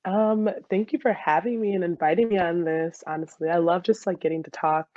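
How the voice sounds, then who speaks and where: A woman's voice: fairly low pitch, a little fast, dry recording, muffled recording. A woman in her twenties with an American accent, chatting casually as an interview guest in a giggly, storytelling way. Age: 20s. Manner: giggly, animated storytelling with quoted dialogue. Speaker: podcast guest, soccer player.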